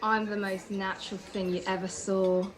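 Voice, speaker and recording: A woman's voice: medium pitch, little pitch movement, normal speed, lightly acted, poor audio. A woman playing a sassy, flirtatious waitress with snappy comebacks in a 1950s radio detective drama. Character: sassy, flirtatious female diner waitress, quick to bristle.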